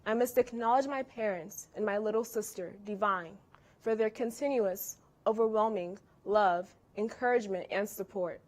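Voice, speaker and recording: Teen girl, Yoruba native speaker, valedictorian, formal and grateful, mid-pitched voice. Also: moderate pace. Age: teens. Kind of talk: prepared ceremony speech.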